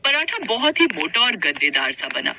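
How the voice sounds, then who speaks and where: A woman's voice: mid-range voice, big pitch swings, moderate pace, background noise. A woman narrator reading a scripted moral story in Hindi.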